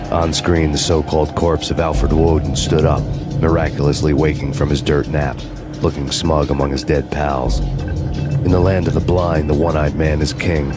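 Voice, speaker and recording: A deadpan noir cop narrator. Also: male voice, a bass voice. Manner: flat, deadpan, sardonic. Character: weary hard-boiled male cop narrator, grim and sardonic.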